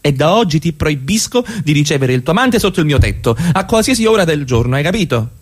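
A man's voice: fairly high pitch, very animated, fast talker. A man in a radio play acting a proud, jealous middle-class husband, formal and sarcastic in his speech. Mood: stern reproach. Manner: formal, pompous wording with biting sarcasm.

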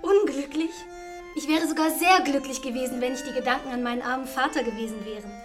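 A woman's voice: high-pitched, quick pace, soft background music, close to an everyday voice. An actress playing a sweet, well-bred young daughter in a German radio play, polite and girlish. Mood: bright, dutiful. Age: young adult.

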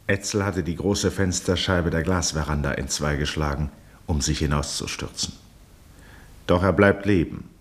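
An actor as the grave, measured narrator of a 1960s German radio drama, reading literary prose. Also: male voice, extremely low pitch.

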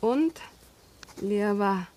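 An actress playing a naive young country woman with a Bavarian accent in a radio drama, speaking haltingly and simply. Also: mid-range voice, lively intonation, dark tone, low-quality recording. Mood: laborious, earnest. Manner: halting, spelling out words while writing. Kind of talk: 1970s German radio drama acting.

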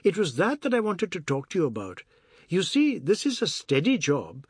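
A man's voice: high-pitched, huge pitch swings, normal speed, slightly rough, dry recording, studio quality, voice pushed up, exaggerated voice. A man voicing a timid, evasive young married man in an audiobook, talking in an awkward, explanatory way. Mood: brisk, earnest.

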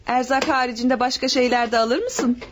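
An actress playing Maria, a devoted mountain wife, in a classic radio play. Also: female voice, high voice, moderate pace, background noise, natural voice. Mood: homely. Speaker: radio drama actor.

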